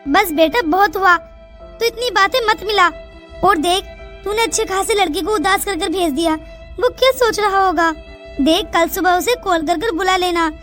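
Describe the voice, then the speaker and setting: Casting: woman as caring cartoon village mother, high voice, fretful and coaxing, music underneath. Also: normal speed, a bit bright. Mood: reassuring, coaxing. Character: anxious, caring village mother comforting her grown daughter. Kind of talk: Hindi cartoon moral story dialogue. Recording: music behind the voice.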